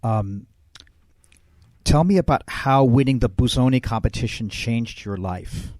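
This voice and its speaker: A man hosting a radio interview, asking questions in a measured, polite way. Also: mid-range voice, a little mellow, dry recording, studio quality. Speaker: radio host.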